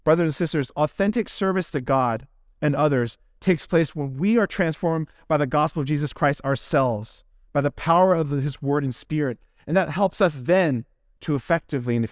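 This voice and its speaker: A male pastor with a fairly high-pitched voice delivers a sermon. He speaks earnestly and deliberately, stressing phrases in parallel runs. The sound is close and dry, with little room echo. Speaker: pastor preaching to his congregation. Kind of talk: expository sermon closing in prayer.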